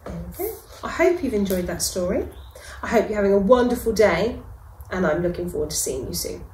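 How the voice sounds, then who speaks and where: A middle-aged woman, a reception class teacher, reading a picture book aloud to children with gentle, expressive delivery and dramatic pauses. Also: mid-range voice, a little hiss or hum. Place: school classroom, indoors. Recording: echoey classroom.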